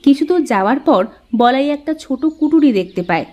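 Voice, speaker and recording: A woman's voice: sits high, animated, moderate pace, darkish tone. A woman narrator reading a scripted cartoon story.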